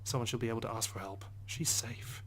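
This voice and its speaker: A man reading visual novel narration aloud as a let's play host, subdued, with hushed asides. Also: medium pitch, steady tone, a little fast, slightly rough. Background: soft guitar music.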